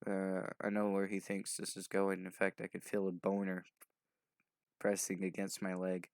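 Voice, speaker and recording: A man with a fairly low voice and plenty of fry reads aloud in a loose, unrehearsed way. He stumbles over words, skims mumblingly and drops in offhand asides, sounding short on sleep. The sound is close and dry. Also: completely flat delivery, moderate pace, darkish tone, no room sound. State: sleep-deprived. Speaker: video host doing a fanfic reading. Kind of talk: loose, improvised reading aloud.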